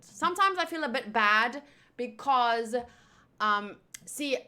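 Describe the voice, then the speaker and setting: A woman's voice: fairly high pitch, sharp tone. A young woman whose first language is Afrikaans, chatting casually on a live stream with lots of fillers and restarts. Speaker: streamer answering chat.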